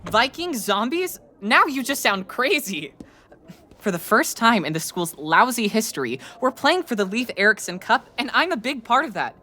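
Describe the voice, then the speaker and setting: An actor playing a cocky teen mascot. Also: male voice, extremely high pitch, sing-song, normal speed, bright, forceful tone, slight background noise, full character voice. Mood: scornful. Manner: swaggering, scornful. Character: shy teen boy turned cocky school mascot. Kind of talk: audio drama acting.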